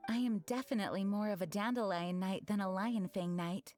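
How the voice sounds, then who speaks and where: Anime game knight leader, female actor: young, gentle, formal, earnest, mid-range voice. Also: mid-range voice, steady tone, a little fast, close to an everyday voice. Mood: reflective, grateful. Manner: formal, sincere. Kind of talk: anime game dub acting. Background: soft music.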